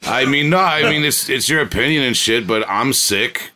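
A man's voice: medium pitch, animated, normal speed, bright, forceful tone. A man co-hosting a casual comedy podcast, laid-back and swearing freely. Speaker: podcast co-host.

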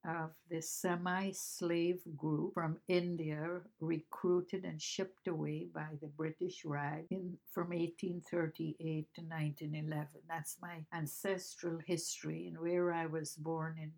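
An elderly woman in her eighties from Guyana, speaking with a notably low voice. She tells her life story in a thoughtful, measured way, sometimes correcting herself mid-sentence. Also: flat delivery, vocal fry, a little mellow. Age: 80s. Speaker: interviewee, grandmother. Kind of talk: reflective storytelling.

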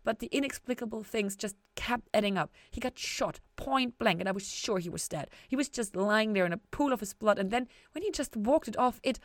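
A woman's voice: on the high side, animated, a little fast, hardly any pauses, no room sound, pitched above the performer's own voice, close to an everyday voice. A woman reader acts out a female police detective in a storybook. She is guarded and troubled, confessing carefully with self-reproach and hesitation. The recording is very dry. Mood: frustrated, troubled. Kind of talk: acted storybook dialogue.

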